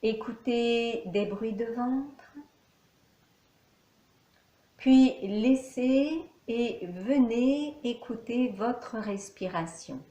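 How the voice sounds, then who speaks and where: A woman teaching yoga nidra, guiding a meditation calmly in short, measured phrases with pauses between them. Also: on the high side. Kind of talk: guided meditation, calm instruction. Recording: echoey, reverberant room.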